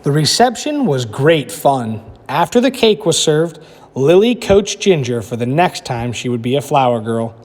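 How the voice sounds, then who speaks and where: A man teacher reading a picture book aloud to children with animated storytelling, then asking them questions about it. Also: mid-range voice, unhurried. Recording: echoey room with long reverb.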